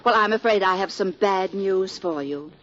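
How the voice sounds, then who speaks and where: A woman's voice: mid-range voice, normal speed, lightly acted. An actress playing a meddling, sugary mother-in-law in a 1940s radio comedy, with affected, fluttery sweetness. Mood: sugary, apologetic. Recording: muffled, noisy 1940s radio, studio audience.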